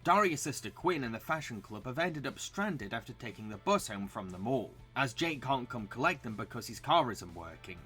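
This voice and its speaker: A man's voice: mid-range voice, sing-song, moderate pace, few pauses, sharp tone, soft background music. A man reading scripted video essay narration as the host.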